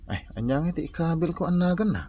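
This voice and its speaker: A man's voice: a little high, lively intonation, fast, dark tone, breathy edge. A man acting in a comedic radio drama as a teasing, girl-chasing buddy, joshing, chuckling and exclaiming. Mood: scheming. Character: teasing, girl-chasing buddy who loves to joke around.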